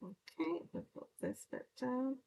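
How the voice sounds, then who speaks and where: A middle-aged woman from County Clare with a soft Irish accent, chatting casually while she works through a card-making livestream. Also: high-pitched, lively intonation, measured pace, creaky. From Ireland, County Clare. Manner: chatty, explains each step while working. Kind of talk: casual crafting livestream chat.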